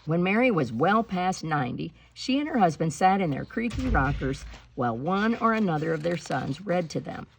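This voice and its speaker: A woman reading a children's picture book aloud in an expressive, emphatic storytelling style, slowing on key words. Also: on the low side, gravelly, slight background noise, poor audio. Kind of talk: expressive read-aloud storytelling with spoken asides.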